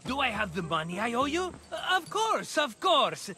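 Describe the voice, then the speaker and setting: A man's voice: extremely high pitch, sing-song, normal speed, pushed and bright, soft background music, heavily acted. An actor playing a cheerful, scheming merchant in a video game, with theatrical, ingratiating salesman patter. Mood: wheedling, upbeat. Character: cheerful scheming male merchant, wheedling and excitable. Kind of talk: video game character acting.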